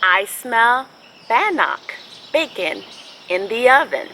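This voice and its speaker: A woman hosting a children's storybook read-aloud, speaking in an expressive, deliberate way with drawn-out phrasing. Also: a little high, a little hiss or hum. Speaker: host reading picture books aloud for children.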